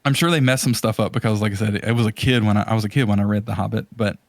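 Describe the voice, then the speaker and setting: A man hosting a podcast in casual conversation, talking in a halting, rambling way as he searches for words. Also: fairly low pitch, steady tone, vocal fry, no echo, clean studio sound. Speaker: podcast host.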